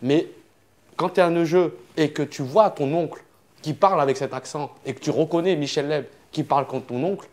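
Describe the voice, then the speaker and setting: A man's voice: a little high, normal speed, a little mellow. A young adult man, a comedian guest, talking in a casual conversation and weighing his words carefully.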